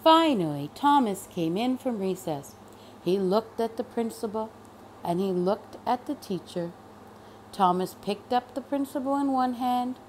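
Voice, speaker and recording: Animated, high-voiced woman reading a children's story. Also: slight background noise, low-quality recording. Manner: deliberate, dramatic emphasis on key words. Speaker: storybook reader. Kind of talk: expressive children's read-aloud narration.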